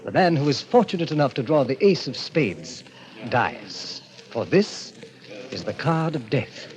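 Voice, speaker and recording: Suave, sinister club president, swooping delivery. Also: male voice, mid-range voice, sing-song, unhurried. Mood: smoothly sinister. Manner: theatrical, courtly, grandiloquent. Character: suave, sinister fifty-year-old president of a death club. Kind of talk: 1940s radio drama acting. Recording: muffled old-time radio recording.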